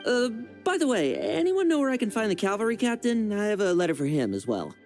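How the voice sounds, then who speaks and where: A woman's voice: medium pitch, sing-song, a little fast, darkish tone, soft background music, natural voice. A woman voicing an earnest, upbeat young knight messenger in a video game, friendly, eager and informal.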